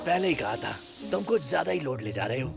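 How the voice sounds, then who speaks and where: Very creaky, fussy cartoon worker. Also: male voice, medium pitch, sing-song, quick pace, loud music behind the voice. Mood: doubtful. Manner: doubtful, cautioning. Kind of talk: animated children's cartoon dub in Hindi.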